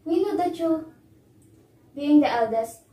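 A woman's voice: sits high, animated, unhurried, warm tone. A young woman playing a supportive, concerned younger sister in an amateur melodrama, earnest and encouraging. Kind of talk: amateur one-act melodrama. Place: small living room, indoors. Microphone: far camera. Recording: echoey living room, distant mic, faint noise.

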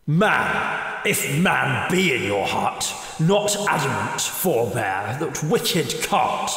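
A man's voice: mid-range voice, big pitch swings, slow pace, creaky, sharp tone, heavily acted. A man voicing a stern, solemn ghost in an audio drama, declamatory and grave with archaic phrasing. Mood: stern rebuke. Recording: cavernous long reverb.

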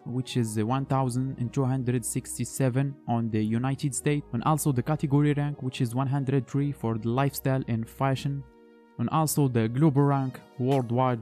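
A man's voice: medium pitch, dark tone. A man narrating a computer screen tutorial as host, talking through each step in a plain instructional way. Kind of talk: screen-recorded tech tutorial voice-over.

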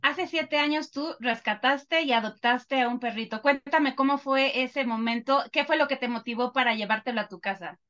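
A woman hosts an interview over a video call from a home office with posters on the wall. She asks short, polite questions. Her voice is a bit high, and the sound comes through dull and lo-fi. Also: normal speed, few pauses, fairly bright tone. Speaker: entertainment interviewer. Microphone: webcam on a video call.

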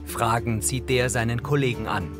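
Male narrator for scripted audio description, mid-pitched, with music clearly underneath. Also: moderate pace. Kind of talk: scripted narration of on-screen action.

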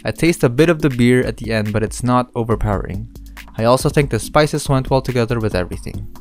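A man from the Philippines narrating his cooking channel in voice-over, giving relaxed step-by-step instructions with dry humor. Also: medium pitch, quick pace, soft background music.

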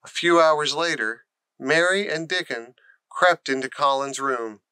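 A man reads a children's story expressively. His voice is crisp and bright, and he takes his time over each phrase. The sound is close and dry. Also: on the high side, no echo, poor audio. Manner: deliberate, expressive reading. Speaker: audiobook narrator. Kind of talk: scripted children's storybook narration.

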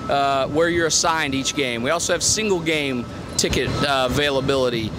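A middle-aged man answering press questions outdoors, halting with many repeated words and fillers. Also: medium pitch. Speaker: interim athletic director. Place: outdoors at a stadium construction site. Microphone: podium microphones on a stand. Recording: outdoor traffic noise behind.

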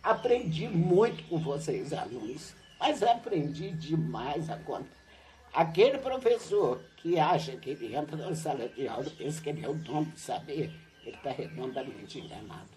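An older woman, a retired teacher, recounting her school days in a relaxed interview on a home patio. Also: low voice, moderate pace, poor audio. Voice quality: lively, animated storytelling with laughs. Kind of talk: reminiscing interview. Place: covered outdoor patio at a home.

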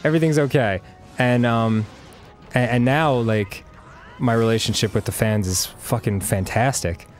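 A man in his thirties chats loosely over gameplay, rambling with plenty of fillers and small laughs. His first language was Hebrew, and he speaks at an ordinary pitch. Faint music plays underneath. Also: normal speed. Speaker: co-host. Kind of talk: casual gameplay chat.

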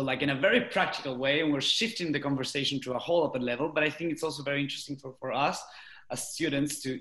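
A man's voice: mid-range voice, fairly bright tone. Young man moderating in an echoey room. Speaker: moderator, student. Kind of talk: moderating a panel discussion. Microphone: video call. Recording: roomy, echoing video call audio.

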